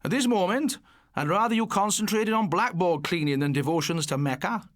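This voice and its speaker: A man's voice: high-pitched, brisk, fairly bright tone, no echo, studio quality, voice pushed up. A man voicing a strict, sarcastic male schoolteacher who lectures drily in an audiobook. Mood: sardonic. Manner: sardonic, instructive. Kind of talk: audiobook character voice.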